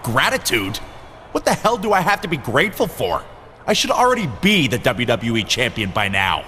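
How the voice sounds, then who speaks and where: A man's voice: mid-range voice, wildly swooping pitch, moderate pace, sharp tone, long reverb tail, slight background noise. A man playing a cocky, resentful, entitled wrestler in a video game, sneering and defiant.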